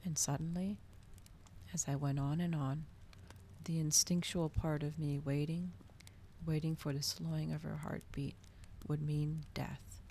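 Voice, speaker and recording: Female ASMR reader, low and creaky, hushed delivery drifting into whispers, faint noise behind. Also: level tone, a little slow. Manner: hushed, often slipping into whispers. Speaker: ASMR streamer reading a novel aloud. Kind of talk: ASMR storybook reading.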